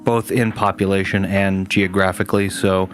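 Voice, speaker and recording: Low-voiced man chatting on a game stream. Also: little pitch movement, moderate pace, over music. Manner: rambling, many restarts and fillers. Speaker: gaming streamer host. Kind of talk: casual chat with stream viewers.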